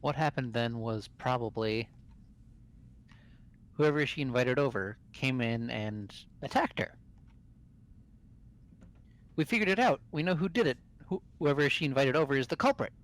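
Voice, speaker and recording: A man voicing an excitable, eager young student in a fan-dubbed mystery game. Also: mid-range voice, moderate pace, long pauses. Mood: excited.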